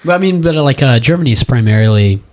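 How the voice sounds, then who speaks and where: A man's voice: medium pitch, lively intonation, very fast, darkish tone. A young man hosting a podcast, asking long, meandering questions full of restarts in a casual chat. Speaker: podcast host.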